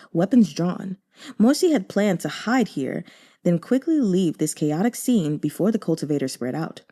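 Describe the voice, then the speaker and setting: A woman reads a fantasy novel aloud in steady, measured narration. Her voice is warm and mellow rather than bright, at an ordinary middle pitch. The pace is unhurried and even. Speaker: audiobook narrator.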